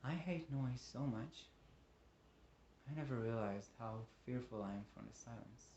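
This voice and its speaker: A man performing a dramatic monologue as a lonely, embittered father, emotive and moving between hushed and agitated. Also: mid-range voice, unhurried, muffled recording, slight background noise, natural voice. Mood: longing. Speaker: monologue actor. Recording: roomy, echoing room.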